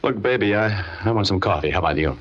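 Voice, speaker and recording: Hard-boiled American private eye, lowish voice, sardonic and flirty, vintage radio sound with dulled top end. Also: male voice, animated, quick pace. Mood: flirtatious. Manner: sardonic, smooth-talking. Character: wisecracking hard-boiled male private detective, flirtatious and cool. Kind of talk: 1940s American radio detective acting. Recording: dull old radio recording with background music.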